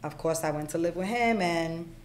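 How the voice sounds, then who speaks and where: A middle-aged woman born in Puerto Rico, a podcast guest telling her life story frankly, with plenty of ums and you-knows, on a desk boom mic in a small studio. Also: moderate pace, a little mellow, reverberant, a little hiss or hum. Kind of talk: candid life story in conversation. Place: small studio room with acoustic panels.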